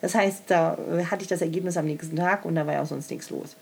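A woman's voice: lowish voice, fairly even intonation, fast talker, gravelly, darkish tone, husky. A woman, chatting casually and bluntly, swearing freely and teasing. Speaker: coach and organizer. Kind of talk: casual podcast chat.

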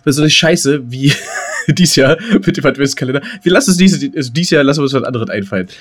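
A man co-hosting a casual comedy podcast in a brash, sweary way, often halting and repeating his words. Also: medium pitch. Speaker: podcast co-host.

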